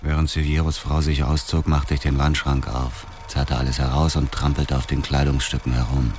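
A man's voice: a bass voice, little pitch movement, normal speed, darkish tone, slight background noise. An actor playing an embittered avenger who narrates his revenge in a radio drama with detached contempt. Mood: detached, grim. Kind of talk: scripted first-person radio drama narration.

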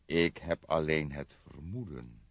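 A man voicing a calm, wry old police detective who speaks with careful deliberation, in an audiobook. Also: very deep voice, unhurried, no echo, muffled recording. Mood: measured, cautious. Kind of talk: audiobook character voice in a detective story.

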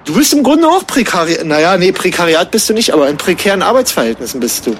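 A man bantering casually as a talk host, halting and repeating himself as he searches for words. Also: high voice, sing-song, slight background noise. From East Germany.

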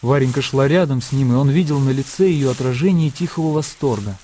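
A man narrating a classic story in a radio play, with a measured, lyrical, literary delivery. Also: mid-range voice, dark tone, slight background noise, close to an everyday voice. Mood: tender, reflective. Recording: music under the narration. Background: music.

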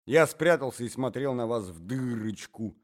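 A man voicing a flustered young gentleman who pleads excitedly. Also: medium pitch, normal speed, lightly acted. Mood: pleading.

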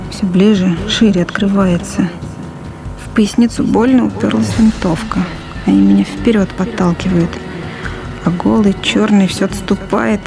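A woman's voice: mid-range voice, gravelly, natural voice. A woman playing a terrified pregnant village mother, dazed with dread, in an audio drama monologue. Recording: music behind the voice.

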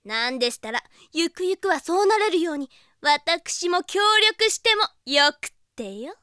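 A woman's voice: sits high, big pitch swings, normal speed, bright, forceful tone, no echo, clean studio sound, full character voice. An actress playing a haughty rich young lady in an anime audio drama, with pompous, ornately polite speech. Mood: persuasive. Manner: pompous, mannered ojou-sama politeness.

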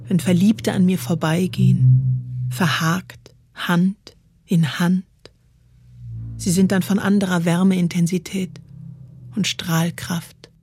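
A woman performing a literary poetry recitation in a measured, deliberate way with dramatic pauses. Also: lowish voice, fairly even intonation, darkish tone. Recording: music behind the voice. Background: music.

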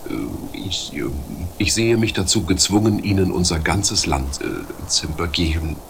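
A man playing a timid, defeated mayor in an improvised comedy radio play, halting and stammering as he talks. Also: deep voice, lively intonation, creaky. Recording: faint noise, amateur 1980s radio play.

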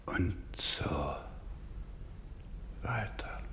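An actor in a German radio drama playing an anguished, devout doctor who cares for orphans, speaking gravely, solemnly and pleadingly. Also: male voice, sits low, animated, extremely slow, a bit bright, whispered, full character voice. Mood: hushed grief.

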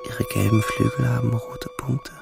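An actor playing a brooding young soldier, musing in a hushed, reflective voice as radio drama narration. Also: male voice, medium pitch, steady tone, normal speed, gravelly, loud music behind the voice. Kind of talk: literary first-person radio drama narration.

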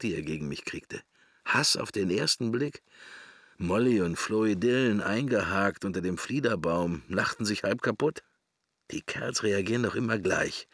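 A man's voice: on the low side, fairly bright tone, slightly rough, dry recording, clean studio sound, heavily acted. An actor playing a pensive middle-aged man in a radio drama, musing to himself wryly and morbidly in short, broken fragments. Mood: reminiscing. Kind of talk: German radio drama, interior monologue.

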